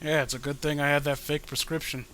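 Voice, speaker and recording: A young man co-hosting a casual comedic read-along of a comic, excitable and swearing, often stumbling over his words. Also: fairly high pitch, quick pace. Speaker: co-host reading a comic aloud.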